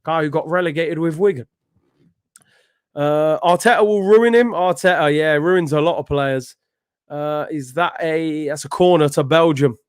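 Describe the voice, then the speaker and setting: A young man talks his way through a football match, slangy and chatty. His voice sits high for a man, and the sound is dry and close. He bursts into excited shouting when the action heats up. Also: normal speed, clean tone, dry recording. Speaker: host of a football watchalong stream. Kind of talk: live football watchalong chat and commentary.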